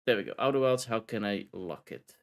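A man's voice: lowish voice, brisk. A man from the Netherlands with a Dutch accent, chatting casually and rambling while streaming a video game, laughing often.

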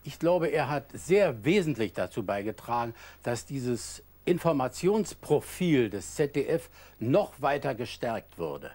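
A man giving a measured interview statement, with occasional hesitations. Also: mid-range voice, sing-song, darkish tone.

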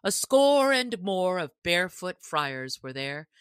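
A woman's voice: on the low side, sing-song, takes it slowly, a bit bright, clean tone, dry recording, clean studio sound. A woman narrating a classic storybook as an audiobook reader, in a scripted style.

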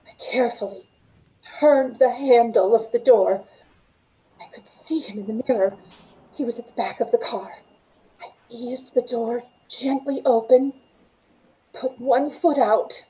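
A woman's voice: fairly high pitch, a little slow, long pauses, mellow, natural voice, low-quality recording. A middle-aged woman playing a frightened, desperate captive who tells her ordeal in old-time radio suspense style. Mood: tense, hushed. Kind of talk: old-time radio suspense acting, first-person narration. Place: indoors at home, on a video call.

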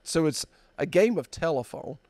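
A man in his fifties hosting a podcast, telling a story in a halting, drawn-out way with many fillers, at a desk mic in a dark studio. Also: on the high side, animated, no room sound. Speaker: podcast host. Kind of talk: casual storytelling chat.

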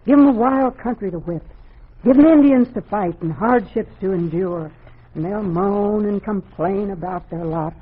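An actress playing a feisty, middle-aged frontier wife with a folksy, scolding manner in an old-time radio drama. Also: female voice, medium pitch, normal speed, breathy edge, close to an everyday voice. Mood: knowing. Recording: muffled, tinny old radio recording.

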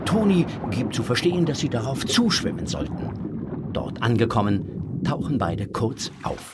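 A man's voice: medium pitch, sing-song, measured pace, very noisy. A man reading scripted narration for a children's audio drama.

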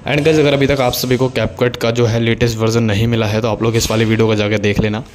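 A man's voice: mid-range voice, level tone, quick pace. A man giving a casual, instructional tutorial voice-over, explaining things step by step in a repetitive way. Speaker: video editing tutorial host.

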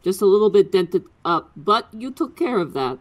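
A woman's voice: medium pitch, big pitch swings, normal speed. A woman playing a literal-minded, matter-of-fact construct in a tabletop roleplaying game, speaking with pedantic precision.

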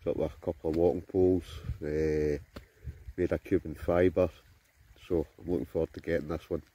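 A man's voice: rumbling low, flat delivery, normal speed, mellow, husky. A Scottish man in his forties, chatting casually to a phone camera held at arm's length, outdoors by a river and inside a tent, rambling with many fillers. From Scotland. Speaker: vlogger.

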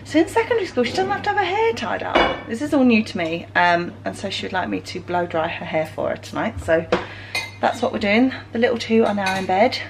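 A woman's voice: medium pitch, big pitch swings, a little fast, noisy background. A woman in her thirties with an English accent, talking in a chatty, explanatory way in a casual family vlog. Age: 30s. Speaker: family vlogger, mother. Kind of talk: casual vlog chat to camera. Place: rooms in a family home. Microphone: camera at arm's length or nearby.

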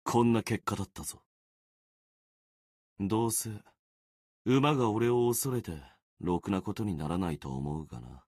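A man voicing a gloomy, self-deprecating young warrior sword spirit in a video game, brooding and resigned, with a husky voice. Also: a little mellow, no room sound, clean studio sound. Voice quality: low, husky. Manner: brooding, resigned, muttering with trailing pauses. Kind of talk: Japanese video game character acting.

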